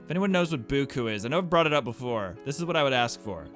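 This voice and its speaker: A man's voice: mid-range voice, expressive, quick pace, fairly bright tone, faint music behind. A man giving casual playthrough commentary as a game streamer, with playful, wry asides.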